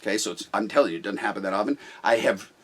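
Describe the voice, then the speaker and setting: A middle-aged man with a loud voice, a business owner talking bluntly and forcefully about management in casual talk. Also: on the low side, racing, slightly rough.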